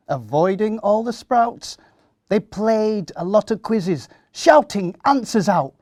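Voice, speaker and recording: A man reading a rhyming children's story aloud in an expressive storytelling style. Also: high-pitched, no echo, clean studio sound.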